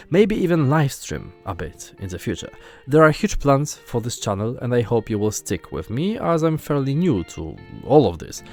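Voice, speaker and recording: A man's voice: mid-range voice, a little mellow. A young man from Poland with a Polish accent, earnestly narrating a scripted gaming video as host.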